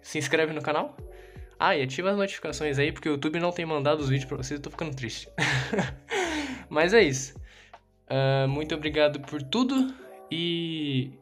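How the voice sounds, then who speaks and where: A man's voice: fairly high pitch, animated, normal speed, long pauses, faint music behind. A young man with a Brazilian Portuguese accent, chatting casually and self-deprecatingly while filming a tour of his bedroom on a handheld phone. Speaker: youtuber. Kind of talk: casual room tour vlog. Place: small bedroom with red LED light. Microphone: phone camera held in hand.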